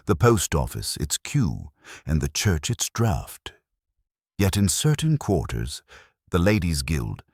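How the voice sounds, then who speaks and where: A man with an extremely low voice narrates a mystery story. His delivery is measured and formal, yet the pitch moves in lively arcs. The sound is dry and close, like a studio audiobook. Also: slightly rough, dry recording.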